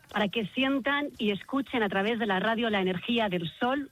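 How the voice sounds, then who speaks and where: A woman's voice: mid-range voice, no echo. A woman with an accent from Spain chatting live on radio as a reporter, talkative and effusive.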